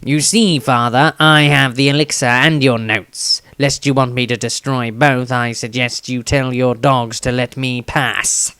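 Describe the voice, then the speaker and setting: A man's voice: medium pitch, steady tone, unhurried, hardly any pauses, bright, forceful tone, clean tone, no room sound, studio quality. A man voicing a spiteful, jealous young male halfling in a story reading, with venom in his words. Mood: spiteful, defiant.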